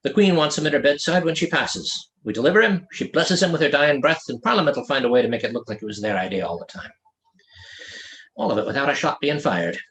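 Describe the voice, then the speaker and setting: A man reading the part of a steady, fatherly male ship's officer, brisk and reassuring, in an audiobook. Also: mid-range voice, natural voice, poor audio. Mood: assured.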